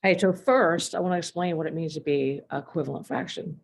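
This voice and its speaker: Low-voiced woman teaching, voice going hoarse. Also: brisk. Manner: clears throat often, talks through examples step by step. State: losing her voice. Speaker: math teacher. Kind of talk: recorded math lesson.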